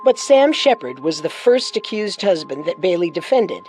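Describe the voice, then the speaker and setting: A woman's voice: lowish voice, very animated, normal speed, darkish tone, soft background music. A woman co-hosting a podcast, reading scripted true-crime narration with dramatic storytelling and wry touches.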